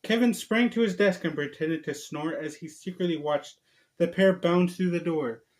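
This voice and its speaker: Man, slightly high-pitched, informal video host, amateur storybook read-aloud, occasional stumbles. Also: moderate pace, a little mellow. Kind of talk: casual vlog talk and amateur read-aloud.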